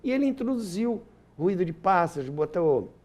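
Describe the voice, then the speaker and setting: An older man with a Brazilian accent and a soft, mellow tone, pitched a little high. He speaks as an interviewed historian, stressing points and repeating words while he finds his thread. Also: very animated, moderate pace. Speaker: interview guest, radio historian and author. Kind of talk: anecdotal interview talk.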